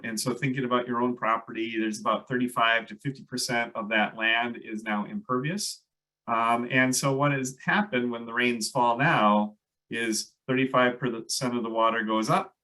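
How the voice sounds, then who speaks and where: A man's voice: mid-range voice, pushed and bright, recorded from a distance, poor audio. A man with a Minnesota accent giving a workshop talk over a video call, loose and hesitant with many fillers. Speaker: landscape ecologist presenter. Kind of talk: online workshop presentation.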